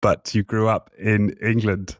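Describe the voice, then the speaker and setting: A middle-aged man hosting a conversational interview podcast, chatty and a little halting as he rephrases his sentences. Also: lowish voice, level tone, no echo, clean studio sound. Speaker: podcast host.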